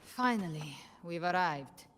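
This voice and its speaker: Grim, slow-spoken warrior woman. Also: on the low side, expressive. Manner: solemn, deliberate, dramatic. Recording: loud game music behind.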